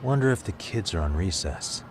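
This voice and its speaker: A man's voice: low-pitched, lively intonation, moderate pace, a little hiss or hum. A man playing a shrewd detective with casual, dry humor in a video game dub. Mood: practical, wry.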